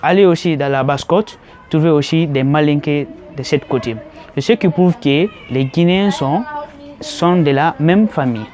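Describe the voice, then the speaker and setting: A man's voice: on the high side, moderate pace, a crowd in the background. A man from Guinea with a Guinean French accent answering a street interview, explaining and repeating himself.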